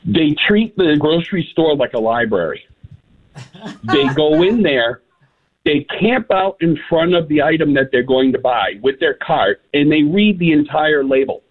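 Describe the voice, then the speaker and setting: A man's voice: on the high side, husky. A man, calling in to a radio show and telling opinionated stories in a wry way. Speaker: radio caller. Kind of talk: call-in radio chat. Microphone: phone. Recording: tinny phone line.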